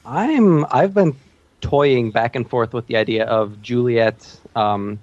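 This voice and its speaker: A woman's voice: extremely low pitch, slow pace, a little mellow, dry recording, lo-fi sound. A woman co-hosting a podcast, chatting casually about television in an exclaiming, mock-exasperated, jokey way. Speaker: podcast co-host. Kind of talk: casual TV recap chat with friends.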